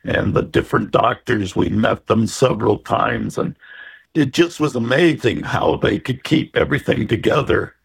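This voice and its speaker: A man's voice: medium pitch, normal speed, vocal fry, breathy edge. An older man recalling his own experience in a casual, plainspoken way. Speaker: interview guest, transplant recipient. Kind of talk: casual personal recollection.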